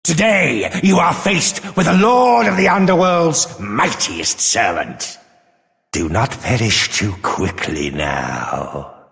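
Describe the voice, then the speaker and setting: Gravelly, arrogant monster villain in cavernous reverb. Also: male voice, medium pitch, animated, slow pace, fairly bright tone, full character voice. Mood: menacing, gloating. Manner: sneering, savoring, melodramatic villain delivery. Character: menacing, arrogant male monster servant of the underworld lord. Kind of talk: fantasy video game villain acting.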